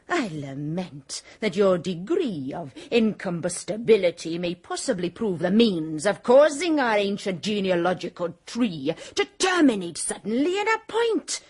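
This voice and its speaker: An actress playing a proud, stern older aunt in a radio drama, who speaks in formal, ornate and reproachful sentences. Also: female voice, medium pitch, husky. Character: stern aristocratic aunt, proud of her lineage. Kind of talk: 1980s radio drama acting.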